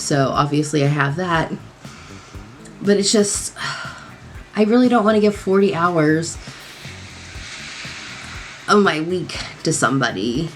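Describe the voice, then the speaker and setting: Young woman, low voice, slightly nasal, rambling casual vlog talk, faint music bed. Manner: rambling, lots of likes and ums. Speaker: beauty vlogger. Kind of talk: casual chat while doing makeup. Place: home at night.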